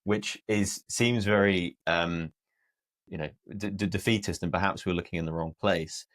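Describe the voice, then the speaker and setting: A man's voice: sits low, fairly even intonation, normal speed. A man with a British accent hosting an interview in a casual, conversational way. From United Kingdom. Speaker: podcast host, interviewer.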